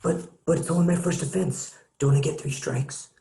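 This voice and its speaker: A man's voice: a little high, normal speed, creaky, dark tone. A man playing a dim, arrogant young vegan rock musician with dopey dude talk in a table read, recorded in a roomy echo. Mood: alarmed disbelief. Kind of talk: amateur screenplay table read. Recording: roomy echoing home mic.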